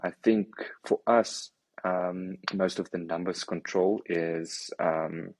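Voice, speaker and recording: A man's voice: low voice, fairly even intonation, slow pace, dry recording. A man from South Africa, a native Afrikaans speaker with a South African accent, chatting as a guest and hesitating often. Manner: hesitant, many ums and restarts. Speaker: guest, stabilizer maker. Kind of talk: casual interview chat.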